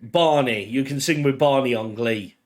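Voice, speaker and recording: A man's voice: medium pitch, moderate pace, a little mellow. A young man co-hosting a podcast in casual chat, teasing his co-host and laughing often.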